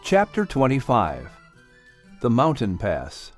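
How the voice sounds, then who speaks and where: A man narrating a children's audiobook in an expressive, scripted storytelling style. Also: medium pitch, slow, soft background music.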